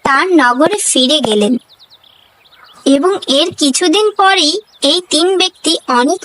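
A woman's voice: high-pitched, unhurried, a bit bright, slight background noise, low-quality recording. A woman narrating a children's cartoon fable in a scripted storybook style.

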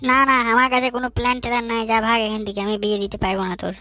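Man voicing a very high bossy young cartoon friend. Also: little pitch movement, fast, sharp tone, no room sound, faint music behind. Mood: annoyed. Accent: rural western West Bengal. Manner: colloquial, mocking, scolding. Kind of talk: comic cartoon dialogue.

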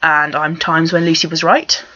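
Young woman, posh Surrey English accent, lowish voice, wry casual vlog chat, dull lo-fi webcam audio. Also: little pitch movement, normal speed, sharp tone. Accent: posh Surrey English, Home Counties. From Surrey, England. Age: young adult. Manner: wry, rambling, sweary. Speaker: vlogger. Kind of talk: casual chat answering accent challenge questions. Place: small bedroom, indoors. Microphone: laptop webcam at desk distance.

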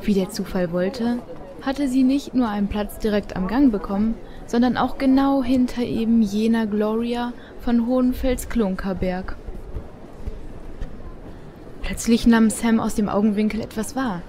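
A woman's voice: a little high, a little slow, mellow, slight background noise. A woman narrating a scripted story as the storyteller of an audio drama. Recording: faint background ambience.

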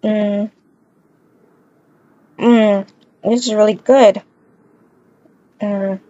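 A woman voicing an affectionate young wife in a game roleplay, talking softly and gently on a lo-fi home microphone. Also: mid-range voice, fairly even intonation, extremely slow, dry recording. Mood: affectionate, relaxed. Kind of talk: Roblox voiced roleplay acting, domestic.

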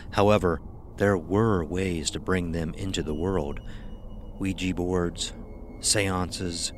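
A man's voice: low-pitched, a little hiss or hum. A man reading a scripted horror story as its narrator. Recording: music behind the voice. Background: music.

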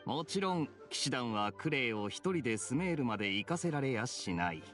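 An actor playing a suave, teasing young knight in an anime game, laid-back and wry. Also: male voice, medium pitch, sing-song, moderate pace, fairly bright tone, soft background music. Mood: persuasive. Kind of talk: Japanese anime game acting.

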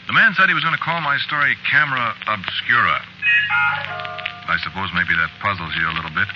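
Noisy old radio, actor as low-voiced confiding murderer. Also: male voice, lightly acted. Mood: confessional, wry. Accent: American. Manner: conversational, folksy, knowing. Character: world-weary, confiding male murderer telling his story. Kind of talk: 1940s radio drama monologue. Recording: noisy, muffled 1940s radio recording.

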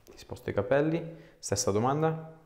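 A man's voice: on the high side, expressive, normal speed, a little mellow. A man in his thirties working as a chiropractor, giving short, informal instructions and chatting casually during a treatment. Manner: short, informal directive phrases. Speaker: chiropractor and osteopath. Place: small indoor clinic room. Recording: echoey small treatment room.

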